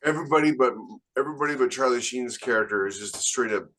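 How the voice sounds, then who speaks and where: A man's voice: medium pitch, quick pace, bright, forceful tone, rough recording. A man co-hosting a podcast, talking casually but emphatically as he argues his opinions at length. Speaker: podcast co-host and military sci-fi author. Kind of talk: casual opinionated panel discussion.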